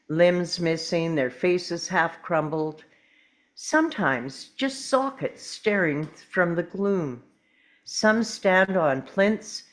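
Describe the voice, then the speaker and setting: A woman narrating scripted stage directions at a table read, measured, with dramatic pauses. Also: lowish voice.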